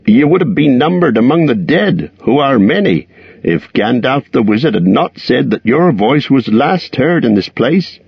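A man's voice: mid-range voice, sing-song, moderate pace, creaky, slightly rough, no echo, pitched above the performer's own voice, heavily acted. A man voicing an earnest grown male rescuer who speaks with urgency. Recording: dull lo-fi recording.

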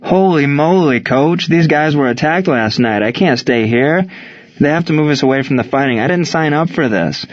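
A man's voice: medium pitch, fairly quick, hardly any pauses, dry recording, muffled recording, voice pushed up, close to an everyday voice. A man voicing an anxious, agitated young male ballplayer in an audiobook war story. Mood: panicked. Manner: agitated, blurting.

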